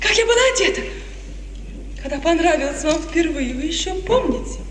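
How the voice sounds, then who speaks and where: A tender, innocent young bride, speaks in a very high, girlish, lilting voice. It is dreamy 1950s radio theatre, heard at a distance in an echoey hall. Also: lively intonation, a little fast, a little hiss or hum. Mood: coquettish, tender.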